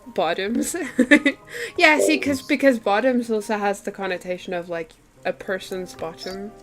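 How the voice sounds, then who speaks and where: A woman's voice: mid-range voice, measured pace, music in the background. A woman whose first language is Afrikaans, chatting casually and laughing often while she draws on a live stream. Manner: chatty, giggly, lots of fillers like "like". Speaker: artist streaming a drawing session. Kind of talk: casual chat while drawing.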